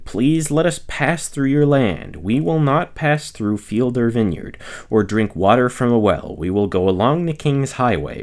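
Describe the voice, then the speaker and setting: Low-voiced man reading scripture with swooping intonation. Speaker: reader, narrator. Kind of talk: scripture read aloud.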